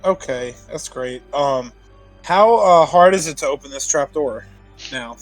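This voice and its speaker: A man's voice: a little high, unhurried, faint music behind. A man chatting casually and informally as a player in a tabletop role-playing game, prone to swearing.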